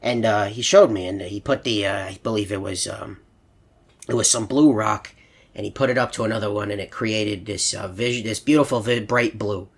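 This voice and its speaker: A man's voice: on the low side, steady tone, moderate pace, slightly rough. A man voicing a sweet elderly animatronic hippo in a video game, telling meandering stories in a stammering, earnest, hesitant way. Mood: wistful, earnest. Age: older. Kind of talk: rambling anecdotal monologue in a video game.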